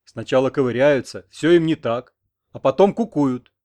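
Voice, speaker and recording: A man's voice: medium pitch, very animated, normal speed, darkish tone, lightly acted. A man voicing a grumpy, fretful old village grandfather in an audiobook, grumbling and indignant. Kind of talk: audiobook character reading.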